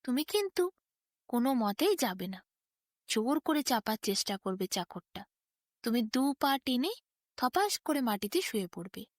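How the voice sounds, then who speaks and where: A woman plays a crafty but kindly donkey from a bedtime story. The voice is on the high side, coaxing and instructive as it lays out a scheme, recorded dry and close. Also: very animated, unhurried, dry recording. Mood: sly coaxing. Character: crafty, sympathetic male donkey giving sly advice.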